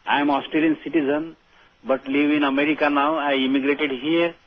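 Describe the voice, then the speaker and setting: A man with an Indian accent calling a phone-in, making an earnest, halting political comment. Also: fairly high pitch, flat delivery. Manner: halting, repeats phrases. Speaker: phone-in caller. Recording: dull telephone line on broadcast.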